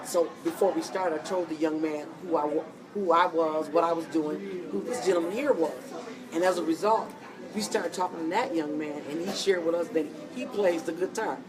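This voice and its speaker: A woman hosting an interview in a coffee shop, animated and preachy, encouraging her guest. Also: sits low, moderate pace. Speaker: host. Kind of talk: interview chat. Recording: distant mic in busy cafe. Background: cafe crowd chatter.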